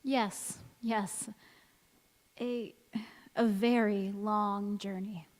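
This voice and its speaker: A woman playing a wistful, gentle mother in an old-time radio drama, recorded in an echoey church hall. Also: medium pitch, steady tone, extremely slow. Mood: subdued. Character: wistful, gentle mother returned as young visitor.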